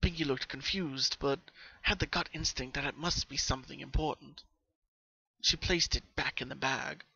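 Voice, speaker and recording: A man narrating a story as a dramatic reading, with expressive, suspenseful delivery. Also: mid-range voice, moderate pace, gravelly, husky, highs cut off. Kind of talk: dramatic fan fiction reading.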